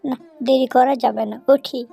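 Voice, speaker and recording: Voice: high-pitched, fairly even intonation, normal speed, vocal fry, warm tone, faint music behind, full character voice. A performer voicing a hungry, scheming village husband animal in a cartoon, exclaiming and muttering to himself. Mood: eager, resolute. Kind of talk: Bengali cartoon voice acting.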